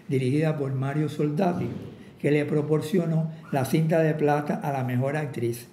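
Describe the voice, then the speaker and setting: Older male film critic reads his lecture at a desk; measured, mellow tone, roomy sound, faint background hum. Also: a little high. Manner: measured reading with occasional restarts. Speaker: film critic giving a talk. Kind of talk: reading a prepared lecture. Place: office with a desk and cabinets, indoors.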